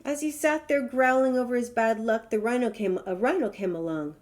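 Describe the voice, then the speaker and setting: A woman's voice: a little high, brisk, darkish tone. A woman storyteller reading a children's tale aloud in a dramatic, emphatic way.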